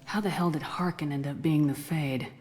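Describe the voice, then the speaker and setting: A woman acts out a tough female space commander from a video game. Her voice is low and firm, with short, commanding phrasing. Ambient noise is clearly audible behind her. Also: fairly even intonation, normal speed, close to an everyday voice. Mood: probing.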